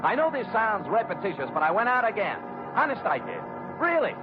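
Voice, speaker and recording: An actor playing a wry, tough private detective, delivering clipped, deadpan lines in 1940s radio noir style. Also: male voice, sits high, a little fast, loud music behind the voice. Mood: wry narration. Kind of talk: 1940s radio noir acting, first-person narration. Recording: tinny old radio broadcast.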